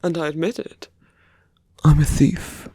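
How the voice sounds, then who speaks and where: A performer voicing a suave, menacing male villain in an intimate ASMR roleplay, slow and teasing, with a breathy, gravelly voice that often sinks to a near-whisper. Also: mid-range voice, level tone, mellow, no room sound. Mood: persuasive, condescending. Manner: slow, deliberate, teasing, condescending.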